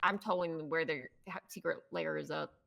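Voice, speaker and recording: A woman's voice: low voice, a little fast, creaky, a bit bright, exaggerated voice. A woman playing a cagey, stubborn law officer in casual online roleplay, teasing and sarcastically evasive. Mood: teasing, sarcastic.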